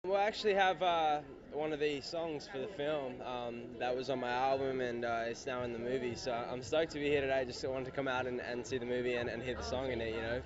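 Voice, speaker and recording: Monotone man talking amid crowd chatter. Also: medium pitch. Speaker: musician. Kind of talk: casual press interview. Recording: muffled, busy crowd behind.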